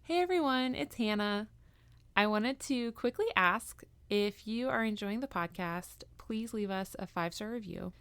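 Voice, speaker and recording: A woman's voice: mid-range voice, unhurried, a bit bright, smooth, no echo. A woman in her thirties reading a friendly, upbeat promo for her podcast. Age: 30s. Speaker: podcast host. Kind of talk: read promo message.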